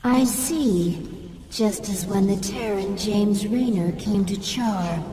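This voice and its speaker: A woman's voice: mid-range voice, slow pace, crowd noise, lightly acted. A woman voicing a deferential, anxious alien servant creature in a video game, speaking formally and precisely. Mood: wary, questioning. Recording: long hollow reverb, noisy ambience behind.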